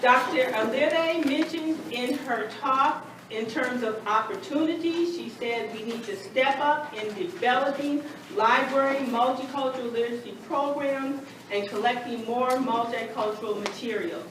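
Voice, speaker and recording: A woman moderating a conference panel, making formal, measured introductions. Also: high-pitched, background noise. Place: large conference hall. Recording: echoey hall recorded from far off.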